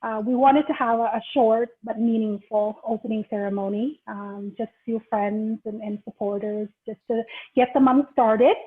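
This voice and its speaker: A middle-aged woman from Vietnam with a fairly high voice hosts an online gathering. She is chatty and giggly in an informal way. The audio is thin and phone-like, as on a video call. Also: steady tone, moderate pace. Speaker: host of an online ceremony. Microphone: video call microphone. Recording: tinny, band-limited video call audio.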